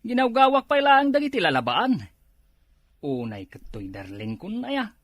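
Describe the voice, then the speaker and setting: A man's voice: sits high, huge pitch swings, moderate pace, fairly bright tone, no room sound, heavily acted. A man acting a quarrelsome village husband in a comedy drama, with a Filipino accent. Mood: grumbling.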